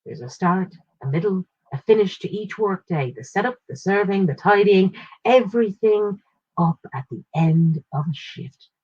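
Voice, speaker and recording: Woman reading a story, slightly husky, dull recording. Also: mid-range voice, animated, moderate pace, a little mellow. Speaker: short story reader. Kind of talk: literary first-person story narration, read aloud.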